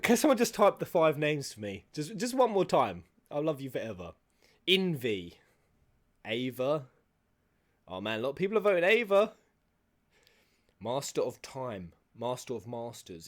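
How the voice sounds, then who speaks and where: A man's voice: a little high, big pitch swings, moderate pace. A man giving casual, slangy live reaction commentary as a streamer, musing aloud as he watches game cutscenes. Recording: game music behind the voice.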